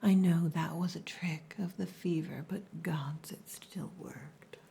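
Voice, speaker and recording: Casting: actress for a female orc girlfriend, ASMR roleplay. Low, breathy whisper, softly commanding, possessive yet tender. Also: slight background noise, exaggerated voice. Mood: vulnerable, tender. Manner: slow, hushed, softly commanding.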